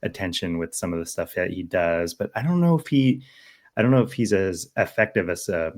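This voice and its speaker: A man's voice: low-pitched, animated, a little fast. An American man co-hosting a podcast in casual political discussion, thinking aloud and often checking for agreement. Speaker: podcast co-host.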